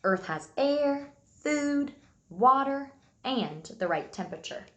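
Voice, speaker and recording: A woman teacher reading aloud and giving a simple lesson to young children, enunciating key words deliberately. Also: sits high, animated, lo-fi sound. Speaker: early elementary school teacher. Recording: echoey room sound.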